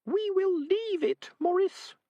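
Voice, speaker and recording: A man voicing a prim, sensible female rat, high and thin-sounding, stern and disapproving. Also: takes it slowly, dark tone, no room sound, dull audio. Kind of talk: audiobook character voice.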